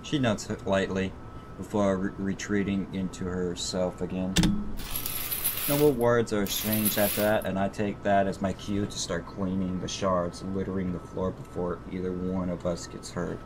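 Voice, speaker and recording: A man's voice: level tone, moderate pace. A man streaming a visual novel, reading it aloud with profane, exasperated asides and stumbling over words. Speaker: gaming streamer. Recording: rumbly background noise.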